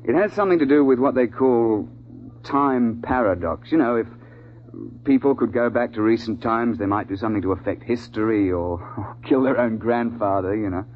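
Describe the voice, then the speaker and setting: An actor playing a gruff, no-nonsense big-game guide in a 1950s radio drama, brisk, colloquial and authoritative. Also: male voice, mid-range voice, a little hiss or hum, lightly acted. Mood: patient explaining. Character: gruff, no-nonsense British big-game safari guide recounting his hunt. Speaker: narrator and lead character. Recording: tinny 1950s radio broadcast, music behind.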